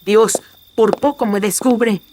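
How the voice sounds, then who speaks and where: A man's voice: fairly even intonation, takes it slowly, very noisy. A man voicing an anxious but brave young noblewoman in a horror radio drama, earnest and melodramatic, through a voice filter. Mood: anxious, desperate. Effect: pitch-shifting voice filter. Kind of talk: Spanish-language horror radio drama acting.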